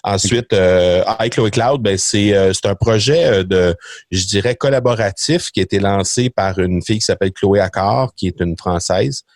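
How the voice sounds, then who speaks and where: A man's voice: lowish voice, normal speed, dry recording, clean studio sound. A middle-aged man with a Québécois French accent, chatting as a podcast guest, hesitant with many fillers and restarts. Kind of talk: casual interview chat.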